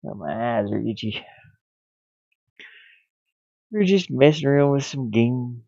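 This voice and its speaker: Gravelly man muttering, thin boxy sound. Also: mid-range voice, expressive, dark tone, dry recording, muffled recording. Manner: muttering, halting, absent-minded. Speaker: livestreamer, hobby game developer. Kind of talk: casual livestream, thinking aloud while working.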